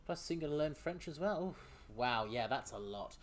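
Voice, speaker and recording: A man's voice: on the high side, animated, normal speed. A man streaming a game, chatting with his viewers, often laughing and sometimes tripping over words. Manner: chatty, laughs often, reads chat aloud; trips over words at times. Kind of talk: casual gaming stream commentary.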